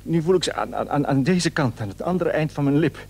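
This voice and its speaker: A man's voice: on the high side, animated, a little fast, gravelly, a little mellow. An actor playing an anxious, frightened husband who stammers and repeats his words in a radio play. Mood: frightened, urgent. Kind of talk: Dutch radio play acting.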